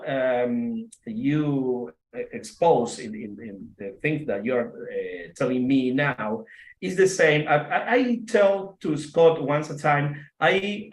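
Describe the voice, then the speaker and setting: A man from Argentina speaking English with an Argentine Spanish accent, chatting casually and searching for words with many fillers and restarts. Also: medium pitch. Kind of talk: casual video call chat. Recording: echoey room.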